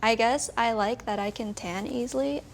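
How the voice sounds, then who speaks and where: A woman's voice: on the high side, normal speed, creaky, slight background noise. A woman in her twenties speaking hesitantly and self-critically about her body. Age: 20s. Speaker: participant. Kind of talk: candid conversation.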